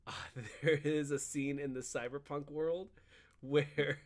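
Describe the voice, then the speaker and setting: A man co-hosting a casual chat, recapping a video game in a rambling, animated storytelling way. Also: a little high, takes it slowly. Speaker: podcast co-host.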